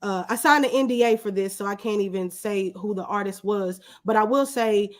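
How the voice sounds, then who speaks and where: Lady being interviewed, just chatting casually and telling stories, medium pitched voice. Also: brisk. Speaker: interview guest, small business owner. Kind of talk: casual conversational storytelling.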